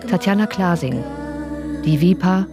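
A woman's voice: fairly low pitch, expressive, a little slow, music in the background. A woman reading the closing credits of a radio drama as a scripted announcement.

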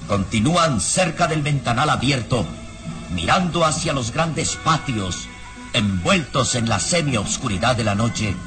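A man's voice: medium pitch, expressive, a little slow, over music. A man narrating an old radio serial in a dramatic, suspenseful, emphatic style.

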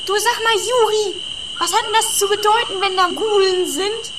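A woman's voice: very high-pitched, steady tone, moderate pace. A woman playing a naive, nagging, whiny companion in a comedy radio play, full of questions and complaints. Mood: naive, puzzled. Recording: clear outdoor night noise, insects and owls.

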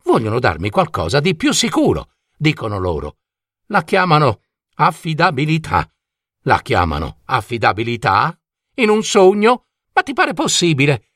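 Guy doing a kindly old tramp for a storybook, voice swooping up high, chatty n theatrical, dry close sound. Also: wildly swooping pitch, normal speed, dry recording, heavily acted. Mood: proud, nostalgic, then indignant. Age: older. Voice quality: warm voice. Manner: theatrical, rambling, exclamatory, full of rhetorical questions. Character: old vagabond dream-carriage driver, kindly, chatty, eccentric.

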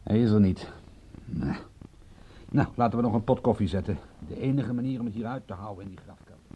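An actor in a radio drama playing a wry, stubborn private detective with an offhand way of talking. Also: male voice, on the low side, fairly quick, a little hiss or hum, natural voice. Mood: offhand, grumbling. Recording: muffled, dull old radio recording.

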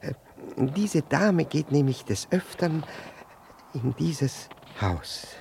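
An actor playing a flustered, over-polite jealous husband in a 1960s German radio play, stammering, repeating words and breaking off mid-sentence. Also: male voice, fairly high pitch, lively intonation, slow, creaky, darkish tone, a little hiss or hum, full character voice. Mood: nervous, apologetic.

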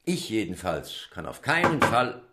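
An actor in a German radio drama playing a sceptical, correct consul with a measured, dismissive official manner. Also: male voice, on the low side, big pitch swings, very noisy. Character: sceptical, correct male British consul, mildly amused.

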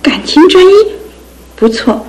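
A woman's voice: high-pitched, expressive, a little slow. An actress playing a jealous, wounded young sweetheart in a radio drama, petulant and accusing in her emphatic delivery.

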